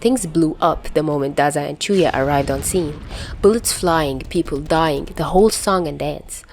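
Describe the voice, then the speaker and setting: A woman reading a story aloud as an amateur audiobook narrator, in an expressive scripted style. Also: sits low, normal speed, slight background noise.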